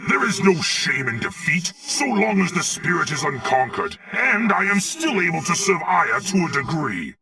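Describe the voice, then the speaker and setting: Valiant machine-bound alien warrior: husky, forceful, theatrical, formal, robot-processed, slightly distant game voice. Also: male voice, sits high, big pitch swings, moderate pace, few pauses, full character voice. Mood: defiant, urgent. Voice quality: raspy, gravelly. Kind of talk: dramatic video game voice acting.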